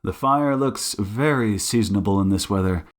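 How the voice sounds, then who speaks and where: A man playing a shrewd, commanding detective in an audiobook, suave and mocking, with deliberate, condescendingly polite delivery. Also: fairly low pitch, close to an everyday voice. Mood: suave, cheerful. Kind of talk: audiobook character acting.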